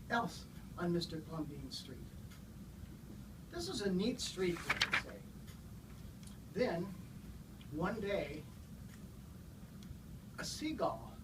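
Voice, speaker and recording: A man in his sixties is heard from some distance, over clear room noise. He talks very slowly and haltingly, in the informal way of a guest introducing and reading a children's storybook aloud. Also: high-pitched, expressive. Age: 60s. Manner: halting, unhurried. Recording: distant mic, noisy room ambience.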